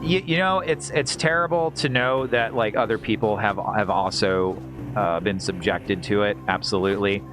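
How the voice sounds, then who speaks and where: A man's voice: on the low side, normal speed. A man chatting casually as he hosts a game livestream, rambling and stammering with repeated words and slangy, salty language. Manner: informal, profane and slangy. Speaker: livestream host playing a video game. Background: game music.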